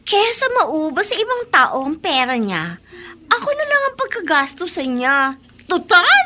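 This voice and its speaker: A woman's voice: extremely high pitch, wildly swooping pitch, unhurried, pushed and bright, heavily acted. A woman playing a spoiled, scheming teenage daughter in Filipino radio drama, sassy and smug, with a Filipino accent. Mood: brazen, scheming. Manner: sassy, smug, self-justifying.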